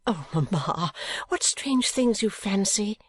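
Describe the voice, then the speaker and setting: A woman voicing a gentle, modest young daughter in an audiobook, earnestly protesting. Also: medium pitch, lively intonation, slow pace, husky, no room sound, pitched above the performer's own voice. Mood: flustered. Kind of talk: audiobook character acting.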